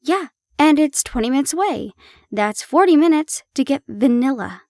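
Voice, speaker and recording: High-voiced, teasing, affectionate young woman, acted. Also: moderate pace, no room sound, clean studio sound. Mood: stressed, exasperated. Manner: teasing, coaxing, expressive. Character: harried but affectionate young woman, ghost's teasing girlfriend. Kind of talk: audio roleplay acting.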